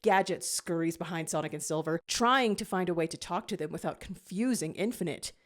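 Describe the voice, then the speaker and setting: A woman narrating a scripted fan fiction story in an expressive reading style. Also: on the low side, brisk.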